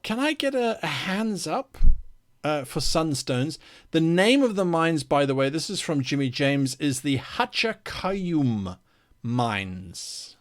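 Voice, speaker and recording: A man's voice: a little high, sing-song, slow. A man hosting a live worldbuilding stream, chatting casually and reading out viewer comments in an enthusiastic, playful way.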